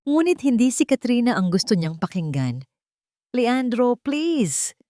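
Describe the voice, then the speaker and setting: A woman's voice: medium pitch, sing-song, a little fast, dark tone, no room sound, studio quality. A woman reading a scripted story as a narrator, with dramatic delivery.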